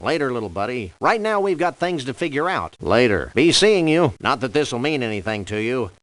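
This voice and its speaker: An easygoing dog detective from a comedy adventure game. The delivery is wordy and drily sardonic, deadpan in attitude but with lively rises and falls in a mid-pitched voice. The sound is tight and dry, with no room around it. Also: male voice, no echo. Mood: wry, deadpan.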